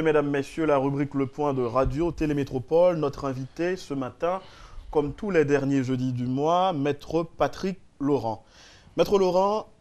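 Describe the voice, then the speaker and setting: A man's voice: medium pitch, darkish tone. A man hosting a radio interview, prompting his guest and finishing his sentences. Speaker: radio talk show host.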